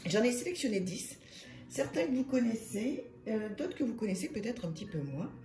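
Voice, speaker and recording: A slightly hoarse woman hosting her own channel, reviewing card decks in a soft, hesitant way full of fillers. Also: a little high, very animated, brisk, roomy sound, faint music behind. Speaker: tarot and oracle channel host. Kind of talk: casual card deck review.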